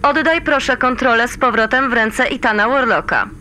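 Brisk female handler for audio drama, colloquial command, sharp bright tone, faint ambient noise. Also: a little high. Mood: firm. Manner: colloquial, authoritative. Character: brisk, wry female mission controller. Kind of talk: sci-fi audio drama acting.

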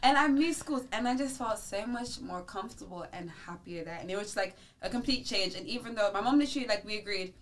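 Fast-talking young woman telling stories. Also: medium pitch. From Netherlands. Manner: animated storytelling with frequent laughs. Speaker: podcast co-host. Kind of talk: casual chat.

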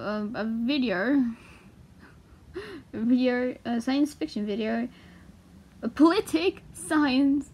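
A young woman casually reads aloud and comments, halting and excitable, swearing freely. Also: on the high side, a little hiss or hum. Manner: excitable, foul-mouthed, halting as she translates. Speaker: vlogger. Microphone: handheld phone filming the page.

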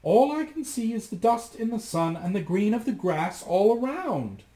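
A man's voice: high-pitched, big pitch swings, normal speed, warm tone, pitched above the performer's own voice. A man voicing an anxious young woman keeping watch from a tower in a storybook reading. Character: anxious young woman, the wife's sister, lookout. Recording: echoey room.

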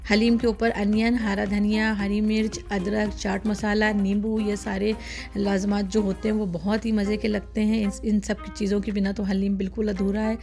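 A woman's voice: mid-range voice, flat delivery, moderate pace, mellow, soft background music. A woman hosting a cooking channel, talking through each step of a recipe in a chatty, casual way with plenty of fillers. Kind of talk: casual cooking demonstration voice-over.

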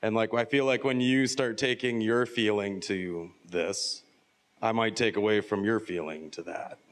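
Hesitant low-voiced male musician. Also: fairly even intonation, a little slow. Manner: hesitant, filler-heavy. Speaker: musician guest. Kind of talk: casual onstage interview. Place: museum event room with live audience. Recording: long hall reverb.

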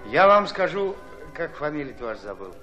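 An actor playing a brash, hot-tempered Red Army commander in a radio play, folksy, blunt and commanding. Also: male voice, fairly high pitch, very animated, brisk, bright, forceful tone, highs cut off, soft background music. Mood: boastful, gruff. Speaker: actor in an audio drama. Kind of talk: Soviet-style radio play acting.

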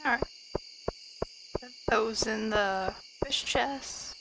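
A woman with a high voice, chatting and joking about herself while she plays a farming video game. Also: very slow, vocal fry, background noise. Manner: chatty, self-mocking. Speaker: gaming video creator. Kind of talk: casual gameplay commentary. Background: game music.

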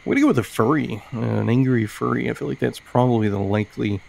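Gravelly man, casual gameplay commentary. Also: medium pitch, fast talker, darkish tone, rough recording. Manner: musing, thinking aloud. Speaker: game streamer reviewing indie games.

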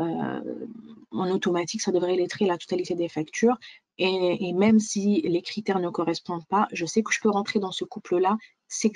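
Woman presenting a software demo, dry sound. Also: mid-range voice, no echo. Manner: explanatory, step by step. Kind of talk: webinar software demonstration.

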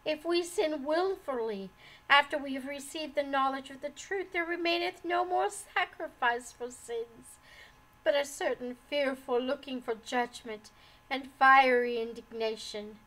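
A woman's voice: high-pitched, takes it slowly, few pauses, dark tone, poor audio. A woman voicing a heartbroken, despairing wife and mother in a storybook, with a trembling, pleading, sobbing delivery. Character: heartbroken, despairing, unhappily married young mother.

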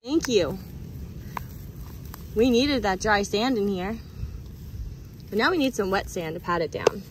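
A woman, playing dolls with children in an exclamatory, expressive way, outdoors. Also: mid-range voice, unhurried. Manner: exclamatory, expressive, with frequent gasps. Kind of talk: playful doll pretend play with children. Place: sandy beach, outdoors. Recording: outdoor beach noise.

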